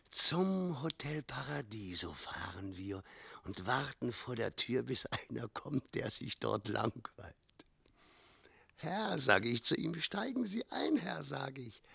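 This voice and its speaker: An old, scrawny coachman in a radio drama, his voice cracked with heavy creak. He wheedles with morbid charm and swoops up and down in pitch. The sound is close and dry. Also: pushed and bright, dry recording, exaggerated voice. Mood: sly, musing. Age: older. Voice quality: gravelly, husky, breathy. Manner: theatrical, chuckling, slow and swooping. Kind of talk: German radio play acting.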